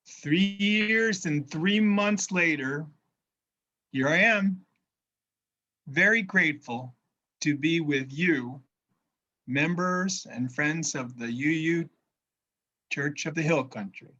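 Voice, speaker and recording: A minister with a faint New Jersey accent preaches in a slightly raised voice, slow and deliberate, now and then stumbling over a word and starting it again. The recording is a little dull and lo-fi. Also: male voice, fairly high pitch, pushed and bright. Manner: slow, careful speech after a brain injury, occasional word restarts. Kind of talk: sermon.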